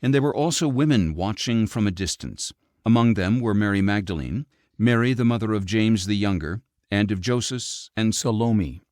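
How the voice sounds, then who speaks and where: A man reading scripture as an audiobook narrator, in a measured, expressive storytelling delivery. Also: fairly low pitch, no room sound, clean studio sound.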